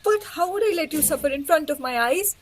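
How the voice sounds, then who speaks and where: A woman's voice: high-pitched, animated, brisk, a little hiss or hum. A woman playing a compassionate, bold young idealist in an audio play, with heartfelt and dramatic delivery. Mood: pained affection.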